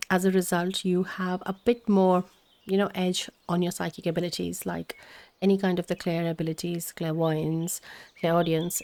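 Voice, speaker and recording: A woman whose first language is Hindi gives a spiritual tarot reading, speaking gently and meandering with fillers and restarts. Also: lowish voice. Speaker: tarot reader.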